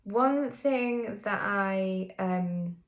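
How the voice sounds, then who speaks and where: A woman's voice: mid-range voice, drawn-out words. A woman singer and vocal coach from the UK talking candidly in a casual vlog, with frequent ums and self-corrections.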